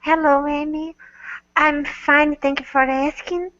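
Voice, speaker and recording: Portuguese-native woman student, high flat voice, slow n halting, dry dull call audio. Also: dry recording. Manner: hesitant, modest, halting. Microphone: computer mic on a video call.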